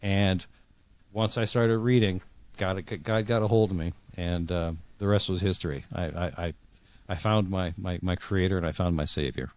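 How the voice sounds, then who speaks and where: A man's voice: sits low, darkish tone. A middle-aged man being interviewed as an author, telling his personal story reflectively, often repeating words as he feels his way forward. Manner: halting, repeats words for emphasis.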